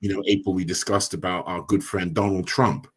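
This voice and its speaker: A middle-aged immigrant man hosting a podcast, speaking in a measured, deliberate way. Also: low voice, steady tone. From immigrant, came as a child. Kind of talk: casual discussion.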